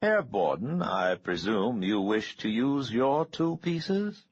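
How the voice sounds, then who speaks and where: A man voicing a courtly, smooth-talking male billionaire with an oily, polite manner. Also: mid-range voice, very animated, slow pace, no room sound, lo-fi sound, voice pushed up, heavily acted.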